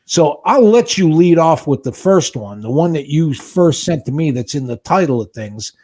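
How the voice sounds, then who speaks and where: A man's voice: fairly high pitch, very animated, moderate pace, mellow. A man in his sixties co-hosting a podcast, ranting in an exasperated, indignant way during casual chat. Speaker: podcast co-host.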